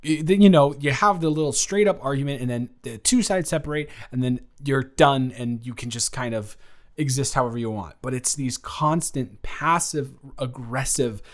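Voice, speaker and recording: A young adult American man acting out an awkward, talkative best friend in an audio roleplay. His mid-pitched voice runs on in casual, halting rambles, often restarting his own sentences. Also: close to an everyday voice. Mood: exasperated. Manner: rambling, halting, self-interrupting. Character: chatty, awkward young male best friend on a road trip. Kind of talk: improvised boyfriend-style audio roleplay, casual one-sided chat.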